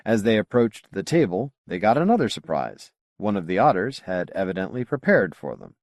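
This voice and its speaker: A man reading a science fiction novel as an audiobook narrator, with measured, clearly phrased delivery. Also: fairly low pitch, big pitch swings, no room sound, studio quality.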